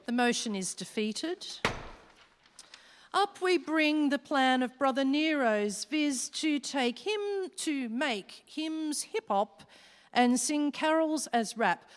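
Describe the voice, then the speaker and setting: A woman playing a commanding devil who presides over fiends in a rhyming radio play, speaking deliberately and theatrically. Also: sits high, animated. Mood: stern, official. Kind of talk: staged radio play acting. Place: live stage in a hall. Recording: echoey hall with long reverb.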